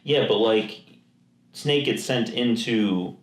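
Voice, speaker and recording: A man's voice: fairly low pitch, slow pace. Man, casual podcast chat, rambling, roomy echo. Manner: rambling, many fillers and restarts. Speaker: podcast co-host. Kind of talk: casual chat about video games. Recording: echoey room.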